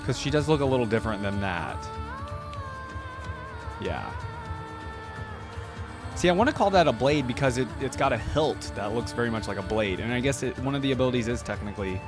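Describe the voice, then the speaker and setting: A man's voice: mid-range voice, expressive, brisk, pauses often. A man giving casual reaction commentary as a host, chatting informally and speaking straight to his viewers. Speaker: host of a game reaction channel. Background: music from the video being watched.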